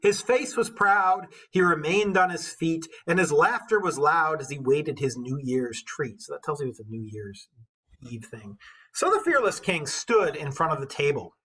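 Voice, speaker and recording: Teacher, husky voice, expressive poetry read-aloud, dramatic emphasis, informal asides. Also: mid-range voice, normal speed, rough recording. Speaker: literature teacher reading aloud. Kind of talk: expressive poem read-aloud with casual commentary.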